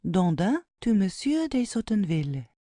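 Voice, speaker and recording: Casting: female narrator for stage directions, mellow tone, dry close recording. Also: medium pitch, sing-song, normal speed, dry recording. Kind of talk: scripted reading of stage directions.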